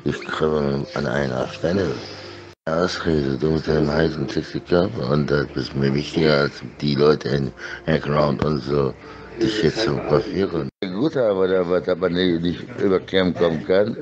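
A man's voice: very deep voice, moderate pace, muffled recording, background noise. A middle-aged man in a casual, rambling monologue, insistent and disjointed, repeating himself, speaking close into a phone.

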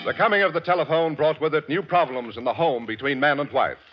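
A man announcing and playing straight man on a 1940s radio comedy show, with a formal, booming delivery. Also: quick pace. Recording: dull old radio broadcast with music.

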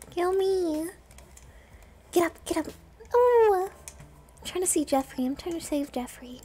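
A woman's voice: extremely high pitch, animated, takes it slowly, pauses often, mellow, a little hiss or hum. A woman streamer giving casual, playful and self-deprecating commentary while she plays a video game.

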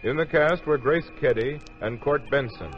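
A man's voice: medium pitch, moderate pace. Old time radio announcer guy, medium voice, muffled recording, music going behind him. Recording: dull 1950s radio recording over music.

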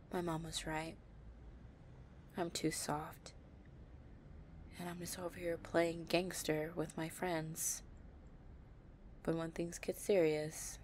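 A woman playing a possessive young gang-leader girlfriend, tough but tender, in an intimate, soft-spoken audio roleplay. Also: sits low, vocal fry, a little hiss or hum, heavily acted. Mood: troubled, self-doubting.

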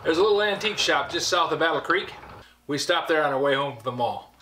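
Older man, folksy storyteller, slightly high voice, echoey basement shop. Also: expressive, fast, bright, forceful tone, slight background noise. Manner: folksy, rambling anecdotes with dry asides. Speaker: woodworker giving a shop tour. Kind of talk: casual storytelling tour. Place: cluttered basement workshop, indoors. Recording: echoey workshop room.

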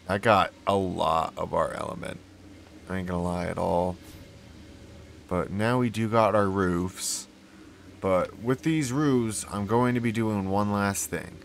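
A man with a slightly hoarse, failing voice, casually rambling as he plays and talks to himself and his creatures. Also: deep voice, slow pace, long pauses, a little hiss or hum. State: losing his voice, sore throat. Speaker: gaming YouTuber. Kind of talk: casual gameplay commentary.

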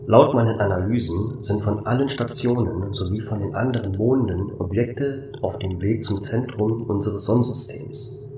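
A man's voice: lowish voice, normal speed, close to an everyday voice. Man as an echoey, distant space station computer. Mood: composed, matter-of-fact. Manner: formal, matter-of-fact reporting. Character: composed, dutiful male computer assistant of space station. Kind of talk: audio drama acting. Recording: echoey, distant, with clear background noise.

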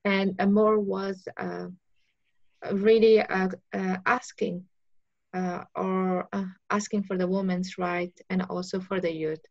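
A woman's voice: medium pitch, little pitch movement, slow, dry recording, dull audio. A young woman from Afghanistan, an artist, speaking in a video-call interview with plain, searching phrasing. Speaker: artist guest.

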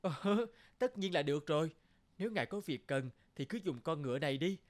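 A man's voice: fairly high pitch, lively intonation, brisk, natural voice. A man voicing a kind young peasant in a fairy-tale cartoon, courteous and earnest. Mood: willing, agreeable.

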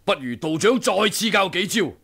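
A man's voice: high voice, animated, moderate pace, fairly bright tone, breathy edge, heavily acted. An actor playing a combative martial-arts master in his sixties in a Hong Kong Cantonese radio drama.

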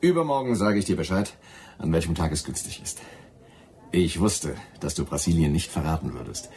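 Deep, grumbling Brazilian boatman. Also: animated, moderate pace, a little mellow. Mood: grudging admiration. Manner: blunt, grumbling. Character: gruff, cautious, patriotic Brazilian boatman, grudgingly won over. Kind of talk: German radio drama acting.

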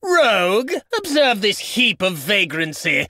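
Pompous, high-pitched medieval nobleman. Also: very animated, slow pace, sharp tone, dry recording, studio quality, heavily acted. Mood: contemptuous, insulting. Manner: pompous, sneering, ornate archaic diction. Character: haughty, contemptuous medieval nobleman lording over peasants. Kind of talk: video game voice lines, mock-archaic battle barks.

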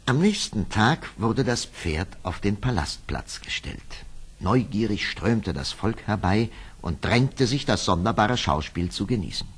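A male storyteller narrates a fairy tale from a script. His voice is low and husky, taking its time, with dramatic rises and falls in pitch. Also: expressive, unhurried.

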